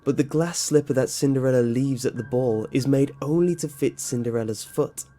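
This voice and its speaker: A narrator giving a steady scripted read of a countdown of dark story origins, played from a video. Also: mid-range voice, moderate pace. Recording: narration with music bed.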